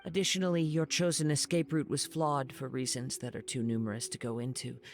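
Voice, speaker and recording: A man playing a calm, poetic young wandering swordsman in an anime game, speaking in measured, eloquent phrases. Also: mid-range voice, natural voice. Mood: cool, critical. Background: background music.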